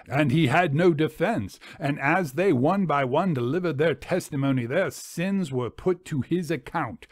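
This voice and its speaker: A man's voice: a little high, no room sound, clean studio sound, pitched above the performer's own voice. A man reading as the haunted narrator of a Victorian tale, in a grave, literary storytelling manner. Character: haunted first-person male narrator of a Victorian mesmeric tale.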